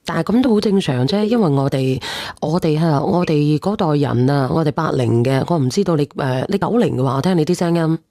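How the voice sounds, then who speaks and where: Low dark voiced lady hosting a live call-in chat, very dry close sound, keeps asking listeners stuff. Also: lively intonation, fairly quick, no echo. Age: 40s. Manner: addresses listeners with questions. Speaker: call-in show host. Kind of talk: casual livestream advice chat.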